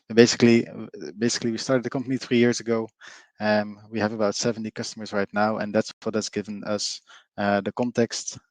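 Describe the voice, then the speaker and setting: A middle-aged man with a Flemish Belgian accent presents a webinar in a lowish voice. He is chatty, peppers his talk with fillers and keeps asking his audience to join in. The sound is dry and close. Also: no echo. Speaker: webinar presenter, company co-founder. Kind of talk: live online presentation.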